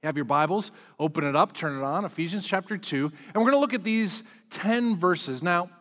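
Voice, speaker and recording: A man's voice: high voice, animated, fairly quick, a bit bright, in a large hall. A middle-aged man preaching a sermon as pastor, candid and self-deprecating in a conversational way.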